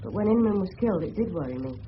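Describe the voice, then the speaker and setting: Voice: medium pitch, lively intonation, very fast, close to an everyday voice. An actor playing a polite, earnest English teenage schoolboy in a radio drama, respectful in manner. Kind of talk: 1970s British radio drama acting. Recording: muffled old radio recording with hiss.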